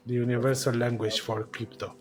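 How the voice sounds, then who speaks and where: A man's voice: medium pitch, level tone, fairly quick, soft background music. A man hosting an interview show, reading his introduction and questions in a formal way. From Romania. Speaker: podcast host.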